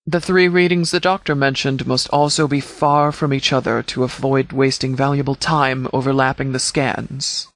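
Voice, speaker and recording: A man voicing a stern, commanding sheriff in a video game, giving a formal military briefing with measured, authoritative words. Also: medium pitch, little pitch movement, fairly bright tone, lightly acted. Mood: firm, commanding. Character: stern, commanding male sheriff briefing his forces before battle. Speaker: sheriff, leader.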